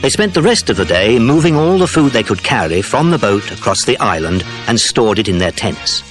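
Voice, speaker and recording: A man's voice: fairly low pitch, sing-song, brisk. A man narrating a children's adventure audiobook from a script, as its storyteller. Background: music.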